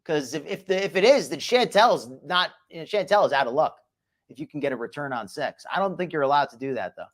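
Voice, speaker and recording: Male host, slight New Jersey accent, sarcastic gossip, very lively pitch. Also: fast talker. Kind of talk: mocking reaction commentary.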